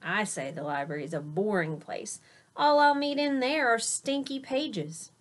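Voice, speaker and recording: A woman's voice: fairly high pitch, animated, unhurried. A woman voicing a cocky schoolboy class clown from a storybook, boastful and emphatic. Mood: scornful.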